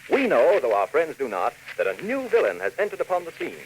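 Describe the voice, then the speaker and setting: A man's voice: a little high, wildly swooping pitch, a little fast, low-quality recording. A man narrating dramatically as a scripted 1940s radio serial announcer, on a hissy old recording.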